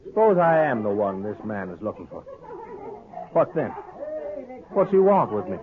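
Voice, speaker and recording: A man's voice: fairly low pitch, big pitch swings, normal speed, crowd noise. An American actor playing a sly, cold-blooded gunman in a 1950s radio Western, with a mocking drawl. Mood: wary, challenging. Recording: muffled, narrow-band old radio recording.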